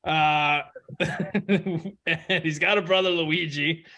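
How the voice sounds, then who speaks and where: A man's voice: on the high side, fairly even intonation, slow, pushed and bright. A man hosting a casual, sweary, irreverent podcast chat. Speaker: podcast host.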